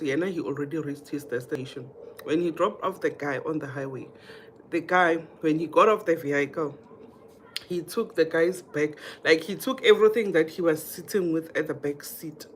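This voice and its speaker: A woman's voice: deep voice, normal speed. South African woman chatting live, background noise. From Mpumalanga, South Africa. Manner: chatty, addresses viewers directly, repeats herself. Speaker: livestream host. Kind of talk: casual live chat, reading comments and telling anecdotes.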